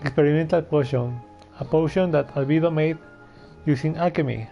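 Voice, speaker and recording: A man giving casual, halting playthrough commentary as he reacts to a game. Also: on the high side, vocal fry, mellow. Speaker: game streamer. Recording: dull mic, faint game music behind. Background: faint game music.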